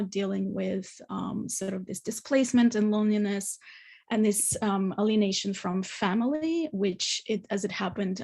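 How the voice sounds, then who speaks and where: A woman's voice: mid-range voice, gravelly, darkish tone. A woman in her thirties from Ukraine, raised in Australia, speaking as an artist on a conference panel, informal with many fillers. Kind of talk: online conference presentation.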